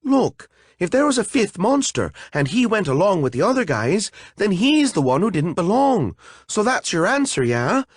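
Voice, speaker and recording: A man voicing a vain, petulant tiny female fairy with a whiny, high, squealing voice. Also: huge pitch swings, normal speed, husky, no room sound, studio quality, exaggerated voice. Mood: pleading.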